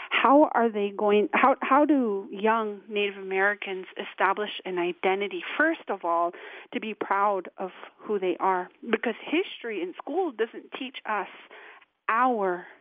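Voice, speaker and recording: A woman calling in to a radio show, speaking earnestly and pausing to find her words. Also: mid-range voice, no echo. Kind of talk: call-in radio comment. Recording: phone line.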